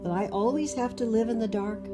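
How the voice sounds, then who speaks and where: A woman's voice: on the high side, fairly quick, close to an everyday voice. A woman voicing an eager, loving young boy who earnestly begs and questions, in a dramatic storybook read-aloud. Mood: thoughtful, earnest. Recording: music under the voice.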